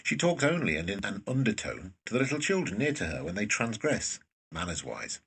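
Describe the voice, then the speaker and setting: A man with a raspy voice reading scripted narration for an audio drama. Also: lowish voice, very animated, brisk, fairly bright tone.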